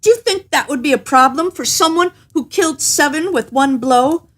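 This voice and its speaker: A woman's voice: high voice, lively intonation, normal speed, a bit bright, pitched above the performer's own voice. A woman voicing a cheerful, boastful little male tailor in a storybook, with a jaunty, emphatic delivery. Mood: boastful taunting.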